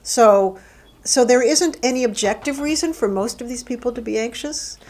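Thoughtful middle-aged woman, halting speech. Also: a little high, darkish tone. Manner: thoughtful, halting, with frequent restarts and fillers. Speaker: interviewee, university faculty administrator. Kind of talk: oral history interview.

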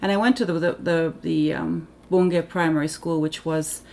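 A middle-aged woman speaks with a low voice. Her first language is Finnish, and she grew up in Tanzania. She talks in a thoughtful, halting way, correcting herself often. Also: steady tone, vocal fry, darkish tone. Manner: thoughtful, halting, many ums and self-corrections. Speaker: interviewee, Africanist scholar. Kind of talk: reflective oral history interview.

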